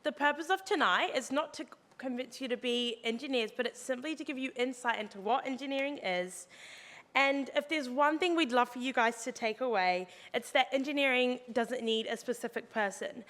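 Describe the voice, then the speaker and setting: A woman's voice: high voice, moderate pace, a bit bright, in a large hall. A young New Zealand woman, a student, presenting to an audience in an upbeat, encouraging way. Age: young adult.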